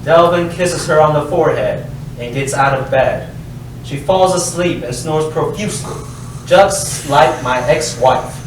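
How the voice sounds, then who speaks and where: Slow male stage-directions reader, very echoey. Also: on the high side. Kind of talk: scripted narration at a staged reading. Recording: very echoey hall, distant mic, faint noise.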